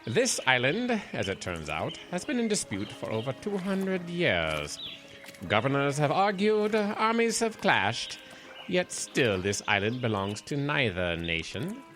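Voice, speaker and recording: A man's voice: mid-range voice, huge pitch swings, unhurried, fairly bright tone, soft background music. An actor playing a pompous, eccentric old man who rules his own island, with grandly formal, courteous yet menacing speech in an audio drama. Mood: grandiose menace. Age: older.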